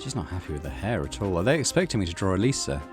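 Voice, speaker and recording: Male gamer-narrator, low register, very expressive swings, animated visual novel read over music. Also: rapid-fire. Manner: dramatic, animated reading. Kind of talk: let's play, expressive story narration. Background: game music.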